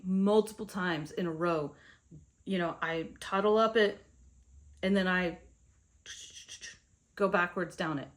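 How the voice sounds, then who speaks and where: A young woman from India with an American accent, telling her own story to the camera in a casual, chatty way. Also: mid-range voice. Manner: conversational, with fillers and asides to the viewer. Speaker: vlogger.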